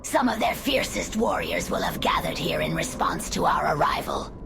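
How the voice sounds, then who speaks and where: Creepy undead spider sorceress, very low whispery croak, swoops around, sly n formal, music behind. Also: female voice, wildly swooping pitch, moderate pace, heavily acted. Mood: expectant. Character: scheming undead spider sorceress, calculating and scholarly. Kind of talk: fantasy game cutscene dialogue.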